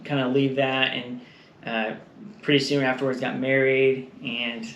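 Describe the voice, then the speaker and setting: A man from Texas, likely in his thirties, talks as a guest in a relaxed interview. He rambles through his stories with lots of fillers and easy laughs. The room is echoey, with a faint hiss behind him. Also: medium pitch, measured pace, highs cut off. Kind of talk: casual conversational interview.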